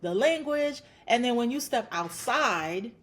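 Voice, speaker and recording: A woman's voice: fairly high pitch, animated, measured pace, fairly bright tone. A woman psychologist from Haiti, in her thirties, answering interview questions in an earnest, encouraging way, often building her points into lists. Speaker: clinical psychologist guest. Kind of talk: podcast interview.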